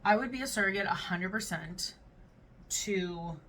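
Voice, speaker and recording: A woman's voice: mid-range voice, measured pace, a bit bright, recorded from a distance, a little hiss or hum. A woman hosting a casual reaction video, chatting and reading online comments aloud. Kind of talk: casual reaction to read-out posts.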